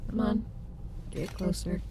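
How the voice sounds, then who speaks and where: A woman's voice: unhurried, natural voice. Woman acting brash, tender animatronic wolf, noisy ambience. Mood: tender, soothing. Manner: blunt, sardonic, affectionate. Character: female animatronic wolf rock star, brash, sardonic, tender protector. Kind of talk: fan audio roleplay, soft intimate acting.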